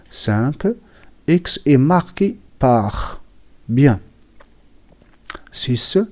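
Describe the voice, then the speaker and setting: A man explains a writing lesson at a very unhurried pace, pausing between short phrases. He has a cold and clears his throat now and then. His voice sits at an ordinary middle pitch. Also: a little mellow. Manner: repeats and defines words, pauses often. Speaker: French writing teacher. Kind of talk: slow classroom explanation.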